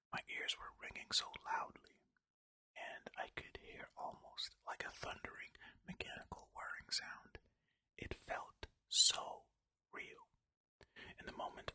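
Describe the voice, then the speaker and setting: An ASMR host speaking in a breathy, unvoiced whisper close to the microphone, reading and musing aloud in conversational asides. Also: extremely high pitch, sharp tone. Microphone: close ear-to-ear stereo microphone.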